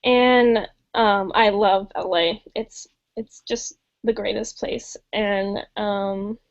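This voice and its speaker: A woman's voice: mid-range voice, fairly even intonation, slow pace. A young woman from Denmark and Los Angeles, talking casually as a guest on a video call with many "like"s and "um"s, through a headset mic. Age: young adult. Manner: chatty, many fillers and "like". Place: small room indoors. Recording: dull lo-fi video call audio.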